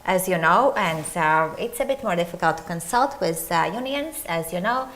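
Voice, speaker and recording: A woman with a Latvian accent introducing herself on a conference panel, measured and listing her points one by one. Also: on the low side, expressive, fairly bright tone, poor audio.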